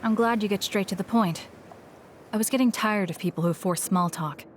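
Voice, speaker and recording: A woman plays an aloof young female soldier in an anime game. Her voice is mid-pitched, curt and matter-of-fact. There is faint ambient noise behind it. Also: moderate pace, lightly acted. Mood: cool, probing. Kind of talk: English anime game voice acting.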